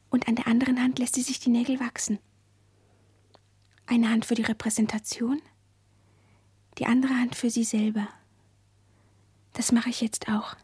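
An actress playing a dreamy, earnest teenage schoolgirl in a radio play, speaking in a hushed, breathy, confiding way. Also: fairly high pitch, no echo. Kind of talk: intimate radio play dialogue.

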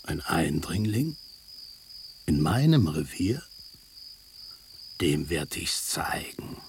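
An actor playing a cocky, territorial tomcat with a sentimental streak, blunt and bossy, in radio drama style. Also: male voice, low voice, very animated, slow, slight background noise, full character voice. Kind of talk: German radio drama acting.

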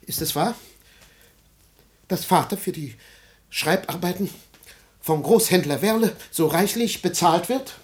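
An actor playing a troubled, self-important husband, speaking formally in a roomy, hissy old radio play. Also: male voice, sits high, measured pace, pauses often. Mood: brooding, suspicious. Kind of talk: 1950s German radio play acting.

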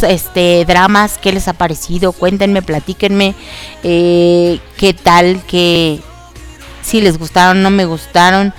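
A woman hosting an online radio show, nasal and congested from allergies, chatting casually with many fillers and giggles. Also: medium pitch. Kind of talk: casual radio chat about K-dramas.

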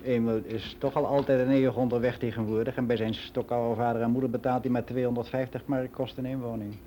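A man's voice: mid-range voice, little pitch movement, fast, warm tone, slight background noise, natural voice. An actor playing a world-weary middle-aged man who rambles in a frank, confiding way in a radio play monologue. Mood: gossipy. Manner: rambling, confiding, matter-of-fact.

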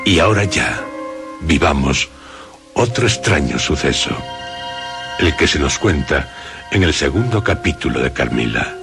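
A middle-aged man with a Castilian Spanish accent, hosting a radio drama in a solemn, deliberate, phrase-by-phrase narration over music. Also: a bass voice, sing-song, creaky. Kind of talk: scripted introduction and narration.

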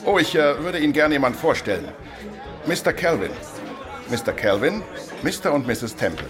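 Breezy condescending inspector, bouncy intonation, radio play with music. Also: male voice, on the high side, very animated, normal speed, a crowd in the background. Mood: wry. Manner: breezy, patronizing. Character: jovial, condescending male police inspector. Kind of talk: radio crime drama dialogue.

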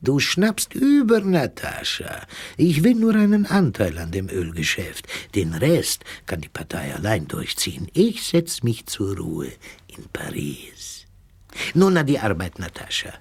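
An actor playing a smug, pompous, self-serving Soviet spy chief in a German radio drama. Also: male voice, lowish voice, sing-song, a little slow, slightly rough, full character voice. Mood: pompous, scheming. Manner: smug, pompous when dictating.

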